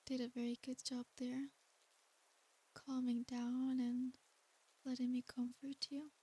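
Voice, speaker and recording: A woman playing an ancient, tender and seductive succubus demon in a soft ASMR bedtime roleplay. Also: sits high, little pitch movement, exaggerated voice. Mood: tender, teasing. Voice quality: soft, breathy. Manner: slow, intimate, soothing bedtime delivery.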